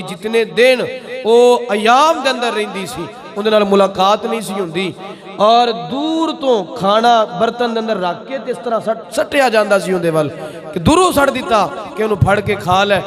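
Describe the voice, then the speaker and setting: High-pitched Punjabi preacher, man with crowd behind. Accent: Pakistani Punjabi. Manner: emphatic, rhetorical repetition and questions. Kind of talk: sermon.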